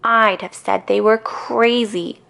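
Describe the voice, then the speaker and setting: A woman's voice: medium pitch, fairly even intonation, slow, gravelly, fairly bright tone, voice pushed up. A woman voicing an impatient, indignant little girl who complains emphatically in a children's storybook. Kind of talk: children's storybook read-aloud.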